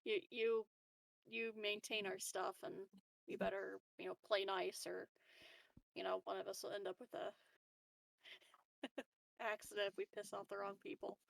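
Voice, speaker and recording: A woman with a medium-pitched, noticeably creaky voice plays a tabletop game. She chats and improvises informally, working out her thoughts as she speaks. The recording is dry. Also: level tone, moderate pace, pauses often, no room sound, rough recording. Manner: informal, tentative, thinking aloud. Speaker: tabletop roleplaying game player. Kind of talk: casual chat and improvised roleplay.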